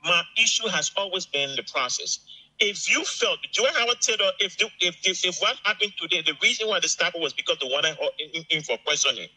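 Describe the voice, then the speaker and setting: A man's voice: high-pitched, animated, fairly quick, sharp tone, low-quality recording. A middle-aged man with a Liberian accent giving emphatic, unscripted political commentary as a guest analyst, repeating phrases for stress.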